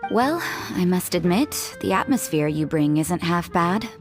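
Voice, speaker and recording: A cool, blunt young nun. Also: female voice, lowish voice, lively intonation, measured pace, over music. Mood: offhand. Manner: dry, offhand, blunt. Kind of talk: video game dub.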